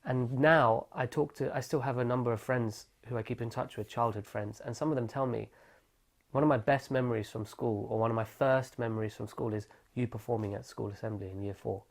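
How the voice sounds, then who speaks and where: A young man from England, telling his life story in an oral history interview. He speaks thoughtfully, often restarting mid-phrase as he reflects, and there is a slight gravelly fry at the ends of his phrases. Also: medium pitch, fairly even intonation, a little fast. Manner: thoughtful, self-correcting, reflective.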